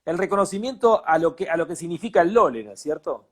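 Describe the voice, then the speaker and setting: High pitched argentine guy co-hosting, tells stories all excited, repeats stuff. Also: fast talker. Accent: Argentine Spanish. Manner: animated storytelling, repeats himself. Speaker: co-host. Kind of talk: casual sports chat.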